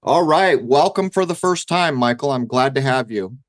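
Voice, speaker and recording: A man hosting a talk radio show, introducing guests and asking brisk, direct questions. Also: mid-range voice, animated, dry recording, studio quality.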